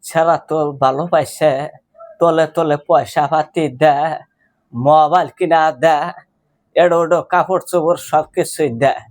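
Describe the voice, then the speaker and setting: A man's voice: a little high, little pitch movement, unhurried, long pauses, a little mellow, close to an everyday voice. A man playing a fretful grandma. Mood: pleading. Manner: pleading, rambling and plaintive. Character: anxious old village grandmother fretting over her grandson. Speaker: prank caller. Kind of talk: prank phone call.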